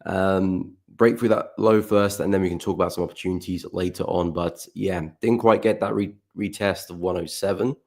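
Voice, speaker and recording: A man hosting a live market analysis stream, his voice croaky as he keeps clearing his throat, chatting relaxedly and greeting viewers by name. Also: deep voice, fairly even intonation, moderate pace, few pauses, darkish tone. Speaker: forex trading livestream host.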